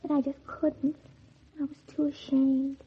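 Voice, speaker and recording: A woman's voice: high-pitched, little pitch movement, unhurried. An actress playing a spiteful, scheming teenage girl in a 1940s radio drama, by turns falsely innocent and coldly bitter. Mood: feigned shame. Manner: feigned sweet innocence turning coldly bitter. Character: scheming, spiteful teenage girl narrating her own revenge. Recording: muffled, tinny old 1940s radio recording.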